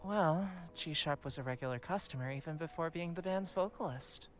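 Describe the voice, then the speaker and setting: A man's voice: fairly high pitch, fairly quick, natural voice. Male actor as modest coffee shop love interest, reserved, polite, understated, visual novel game, background music. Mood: calm, modest. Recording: music behind the voice.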